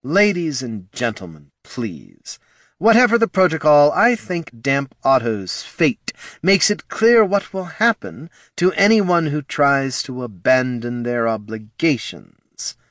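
A man's voice: mid-range voice, big pitch swings, takes it slowly, fairly bright tone, no room sound, clean studio sound, pitched above the performer's own voice. A man voicing a tense, commanding male crime boss who speaks in a stern, clipped growl, in an audiobook. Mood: menacing.

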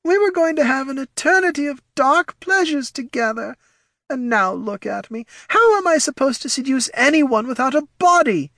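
A man's voice: very high-pitched, animated, normal speed, pushed and bright, dry recording, studio quality, pitched above the performer's own voice, full character voice. A man voicing the angry, wailing shade of a young woman who feels cheated, in a fantasy audiobook. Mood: wailing, aggrieved. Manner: snarling, wailing, indignant.

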